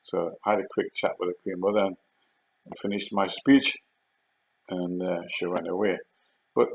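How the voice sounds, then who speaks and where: Deep-voiced older Geordie man. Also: poor audio. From Northeast England. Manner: unhurried, rambling, hesitant with fillers. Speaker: army veteran. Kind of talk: casual anecdotal storytelling.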